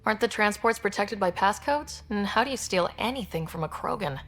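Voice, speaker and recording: A woman's voice: medium pitch, expressive, brisk, fairly bright tone. A woman playing a confident, friendly young space explorer in a video game, with an assured, dryly wry manner. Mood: supportive, determined.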